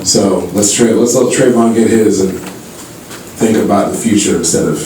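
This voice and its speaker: A man's voice: on the low side, a little slow, a little mellow. A man from California making conversational, heartfelt remarks as a speaker at a public meeting. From Menlo Park, California. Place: meeting hall, public town-hall event. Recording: echoey hall, distant mic, faint noise.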